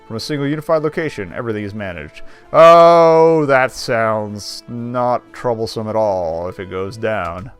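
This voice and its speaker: A man's voice: lowish voice, lively intonation, normal speed, few pauses, clear, resonant tone. A man streaming a game, reading visual novel narration aloud expressively with wry casual asides. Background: game music.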